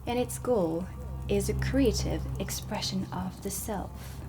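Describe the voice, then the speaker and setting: A woman playing a stern, dry dark witch teacher in a soft-spoken ASMR roleplay, deliberate and often slipping toward a whisper. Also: mid-range voice. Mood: calm, instructive. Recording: close voice under prominent ambient music. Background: ambient music.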